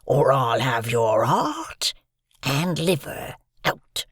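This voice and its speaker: A man's voice: medium pitch, sing-song, a bit bright, breathy edge, dry recording, studio quality, heavily acted. A man growling as a ragged menacing convict. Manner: slow, growling, half-whispered threats. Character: menacing ragged male convict, hungry and threatening.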